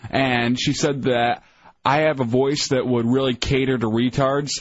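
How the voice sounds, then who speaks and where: A man co-hosting a radio talk show, telling stories in a deadpan way. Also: mid-range voice, unhurried, no room sound, muffled recording. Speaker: radio co-host. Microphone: studio microphone.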